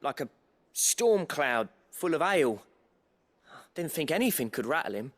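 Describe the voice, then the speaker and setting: An actor playing a friendly, cheeky working-class British sidekick in a video game, informal and eager. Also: male voice, a little high, very animated, measured pace, fairly bright tone, full character voice. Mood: musing.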